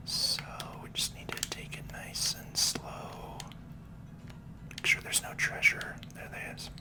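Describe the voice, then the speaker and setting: Whispering ASMR gaming host. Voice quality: breathy unvoiced whisper. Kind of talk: ASMR whispered game commentary.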